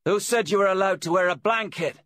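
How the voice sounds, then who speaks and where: A man's voice: a little high, a little slow, a bit bright, dry recording, clean studio sound, voice pushed up. A man voicing a bossy, sneering female villain who barks orders in an audiobook thriller. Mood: commanding. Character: commanding, sneering female accomplice with a gun.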